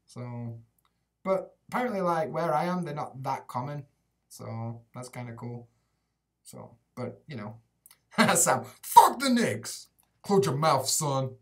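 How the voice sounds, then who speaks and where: A man's voice: mid-range voice, very animated, slow pace, far from the mic. A young man with a Yorkshire accent answering viewer chat on a live stream, casual and sweary with lots of "like" and "mate". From England, Rotherham area. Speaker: YouTuber living in Japan. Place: small room indoors with shelves behind.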